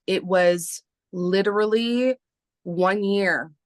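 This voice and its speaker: A woman in her thirties from Canada, a native Spanish speaker, talking slowly and hesitantly with many fillers as an interview guest. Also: medium pitch, no echo, studio quality. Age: 30s. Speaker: guest, artist and publisher. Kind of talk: casual interview talk.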